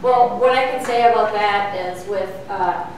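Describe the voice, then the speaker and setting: A woman's voice: medium pitch, unhurried. A middle-aged woman leading outreach ministry, answering questions at a church forum in an earnest, explaining way with frequent fillers. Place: church meeting room. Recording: echoey room, distant mic, faint noise.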